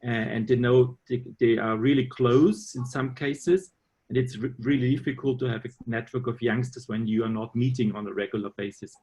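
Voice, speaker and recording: Husky Austrian-born man, skeptical. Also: mid-range voice, steady tone, darkish tone. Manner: measured, skeptical, frequent restarts. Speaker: head of a university teacher-training department. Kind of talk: video meeting discussion.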